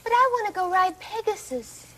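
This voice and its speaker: A woman's voice: very high-pitched, big pitch swings, normal speed, a bit bright, a little hiss or hum, full character voice, low-quality recording. A woman playing a sheltered, defiant young maiden in a fairy tale drama, pleading and petulant. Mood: impatient.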